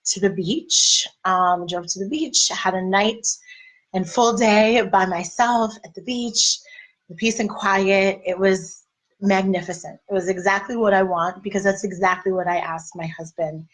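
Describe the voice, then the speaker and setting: A woman in her thirties, a life and marriage coach, giving a casual, encouraging talk to wives. Her voice is a little raspy. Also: mid-range voice, fairly even intonation, unhurried, a bit bright, poor audio. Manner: conversational, encouraging, faith-based. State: slightly raspy voice.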